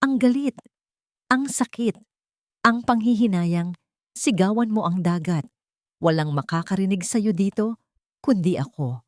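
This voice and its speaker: A woman voicing a gentle, patient man in a romantic audiobook drama, soft-spoken and coaxing. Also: medium pitch, unhurried, long pauses, no echo, studio quality, lightly acted.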